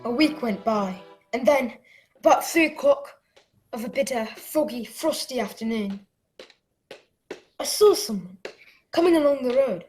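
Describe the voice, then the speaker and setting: A woman playing an earnest, excitable young boy who acts and narrates his adventure in a radio play. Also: a little high, soft background music, low-quality recording. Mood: suspenseful. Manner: dramatic, deliberate storytelling.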